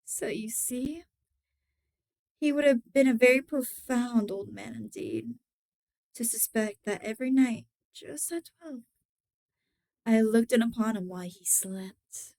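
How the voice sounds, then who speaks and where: A woman reading a gothic horror tale as its mad, cunning, obsessive murderer, deliberate and theatrical, moving between hushed and emphatic delivery with a smooth voice. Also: fairly high pitch. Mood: sly, composed. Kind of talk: dramatic horror story reading.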